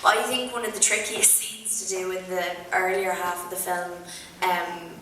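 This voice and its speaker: A woman's voice: lowish voice, normal speed, sharp tone. A young woman with a strong Irish accent, answering questions at a theatre panel in a chatty, thoughtful way with many ums. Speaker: actor on a festival panel. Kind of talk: post-screening Q&A answers. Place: large theatre. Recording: echoey theatre from far off, noisy.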